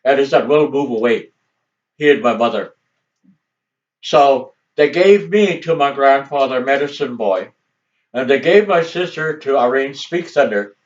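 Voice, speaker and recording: A man's voice: mid-range voice, unhurried. Older man, rambling family stories, muffled. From Montana, US. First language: Assiniboine. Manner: rambling, chuckles often. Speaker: grandfather telling family history. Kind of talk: casual storytelling. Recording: dull distant home recording.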